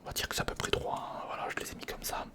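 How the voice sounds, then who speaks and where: An ASMR creator whispering informal, step-by-step commentary to the viewer while building something by hand, close to the microphone. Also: rapid-fire. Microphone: close recorder or studio microphone.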